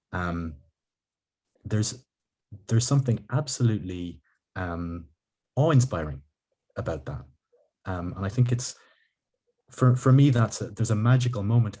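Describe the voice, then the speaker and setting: A man who grew up in Ireland, with a very deep voice, hosts a reflective interview on a video call. He talks slowly and thoughtfully, with many ums and restarts. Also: dark tone. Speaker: podcast host. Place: living room at home.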